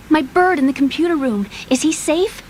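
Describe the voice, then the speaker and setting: A woman's voice: high-pitched, lively intonation, a little slow, darkish tone, slight background noise. An actress voicing an earnest, brainy young woman computer scientist in a video game, lecturing precisely and a little haughtily with pointed questions. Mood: anxious, indignant. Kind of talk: scripted game cutscene dialogue.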